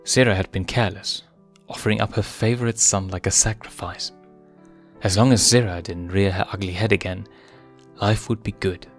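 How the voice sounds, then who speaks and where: A man's voice: fairly low pitch, fairly even intonation, normal speed. A man reading a story aloud as a narrator, in a dramatic, scripted storytelling style. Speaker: fan fiction reader and narrator. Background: music.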